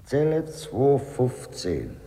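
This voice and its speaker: Slow, sardonic prison warder, echoing. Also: male voice, medium pitch, very animated, warm tone, exaggerated voice. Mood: gloating, taunting. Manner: slow, mocking, taunting. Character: sardonic, gloating old-school prison warder. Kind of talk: 1950s German radio play acting. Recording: echoey stone hall, faint hiss.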